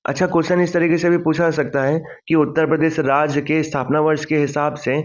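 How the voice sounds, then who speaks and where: Higher-voiced man teaching, muffled recording. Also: level tone, brisk. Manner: didactic, poses questions then answers them, repeats key points. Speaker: exam-prep teacher. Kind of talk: question-and-answer lecture for exam revision. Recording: dull lo-fi microphone sound.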